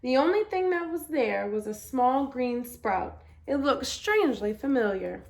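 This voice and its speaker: Very expressive woman reading a storybook. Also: sits high, vocal fry. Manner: expressive, deliberate emphasis. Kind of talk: children's picture book read-aloud.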